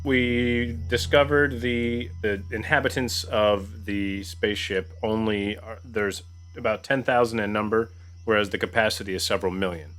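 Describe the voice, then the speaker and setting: A man takes part in a tabletop roleplaying game. His voice is a little low, and he speaks in casual table talk. Quiet music plays behind him. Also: steady tone, normal speed.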